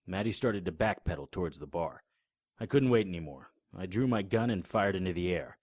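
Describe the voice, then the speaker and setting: A man voicing a hard-boiled 1920s private eye, narrating in a laconic, deadpan noir style. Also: sits low, fairly quick, breathy edge, no echo. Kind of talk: first-person noir narration.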